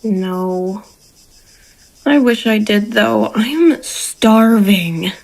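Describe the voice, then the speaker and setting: A woman's voice: medium pitch, animated, drawn-out words, creaky, darkish tone, full character voice, rough recording. A woman acting in an audio drama as a naive, tender-hearted young rancher, earnest and childlike. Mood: wistful.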